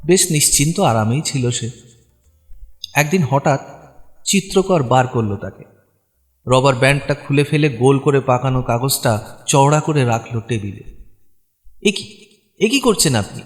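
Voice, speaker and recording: Male storyteller with long reverb. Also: mid-range voice. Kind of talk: dramatic scripted story narration. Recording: long reverb, music behind.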